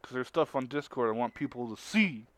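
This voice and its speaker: A man co-hosting a casual group stream chat, speaking haltingly and often rephrasing as he goes. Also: mid-range voice, slight background noise. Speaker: stream co-host.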